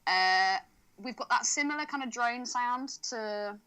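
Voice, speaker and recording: A woman's voice: fairly high pitch, a little slow, pushed and bright, clear, resonant tone. A British woman co-hosting a podcast with cheeky, teasing, sweary banter. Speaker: podcast co-host. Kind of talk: casual banter.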